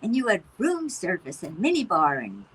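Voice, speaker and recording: A woman's voice: fairly low pitch, huge pitch swings, normal speed, fairly bright tone, husky, full character voice, rough recording. An actress playing a disapproving middle-aged mother in a stage play, pointed and exasperated. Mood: exasperated, indignant. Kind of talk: conversational stage-play dialogue.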